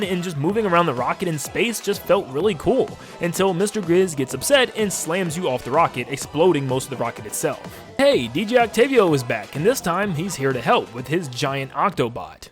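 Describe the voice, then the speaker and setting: A man hosting a video game channel, narrating a video essay in an upbeat, gushing and casual way. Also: fairly high pitch.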